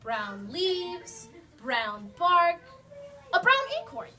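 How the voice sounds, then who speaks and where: A woman narrating a children's puppet show, animated and speaking to a young audience. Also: very high voice, extremely slow. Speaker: storyteller. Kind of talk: children's story reading. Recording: distant mic, faint noise.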